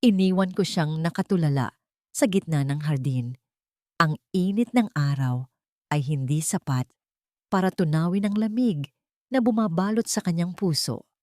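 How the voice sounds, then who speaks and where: A woman with a Filipino accent reading a scripted first-person dramatic story in a deliberate storytelling manner. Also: on the low side, mellow, no room sound, studio quality. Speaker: narrator of an audio love-and-revenge drama.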